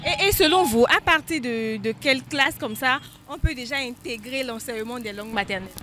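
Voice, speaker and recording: A high-voiced woman runs a street interview outdoors, keeping her questions brisk and chatty. Traffic noise from the busy street sits clearly behind her. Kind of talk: vox-pop street interview.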